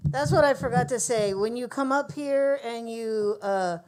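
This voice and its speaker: A woman's voice: on the high side, a little slow, darkish tone. A woman hosting a coffee shop open mic, chatting loosely and joking with the room. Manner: joking, loose, laughs easily. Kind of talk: casual emcee banter.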